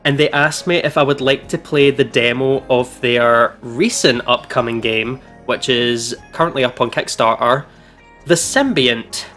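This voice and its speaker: Male let's play host, informal and excitable, mid-pitched, music playing behind. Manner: giggly, excitable, informal with swearing. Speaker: host of a gaming channel. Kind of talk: casual let's play chat. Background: background music.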